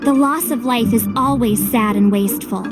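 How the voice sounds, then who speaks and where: An actress playing a thoughtful, compassionate companion in a video game, with a frank, reflective delivery. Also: female voice, a little high, normal speed, music in the background, natural voice. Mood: sober, questioning. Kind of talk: dramatic video game voice acting.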